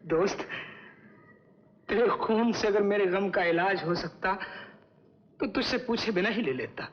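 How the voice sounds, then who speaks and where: An actor playing an anguished, secretive brother and loyal friend in an old Hindi film melodrama, brooding and theatrical. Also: male voice, sits high, unhurried. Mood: anguished, resigned. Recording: dull, lo-fi old film soundtrack, hissy.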